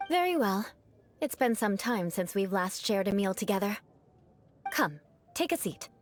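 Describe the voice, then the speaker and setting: A woman's voice: mid-range voice, sing-song, a little slow, faint music behind. A woman playing a haughty young knight in a video game, formal and theatrically stern, with talk of revenge that masks a caring side. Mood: composed, formal.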